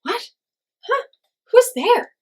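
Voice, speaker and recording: Woman playing excitable, swooping coyote man. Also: very high voice, very slow, pitched above the performer's own voice, exaggerated voice. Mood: surprised, eager. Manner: exclamatory, muttering and sputtering. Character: excitable, grumbling male coyote man, eager and dramatic.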